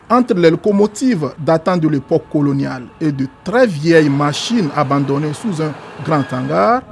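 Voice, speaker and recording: A man reading a scripted documentary narration in a gravelly voice. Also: fairly high pitch, slight background noise. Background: music.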